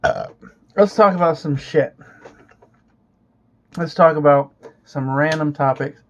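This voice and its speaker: A young man from Florida talks casually at home with a slightly raised pitch, swearing freely and restarting his sentences. He fills his talk with uh and you know as he rambles. The recording sounds muffled and lo-fi. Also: slow. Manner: rambling, profane, many fillers and restarts. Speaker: vlogger. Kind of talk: casual chat.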